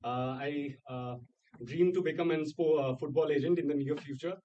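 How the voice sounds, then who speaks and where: A man's voice: fairly high pitch, normal speed, dark tone. A man from India, heard from across the room on dull, muffled audio. He speaks earnestly with a few hesitations. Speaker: student. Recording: muffled, distant classroom audio.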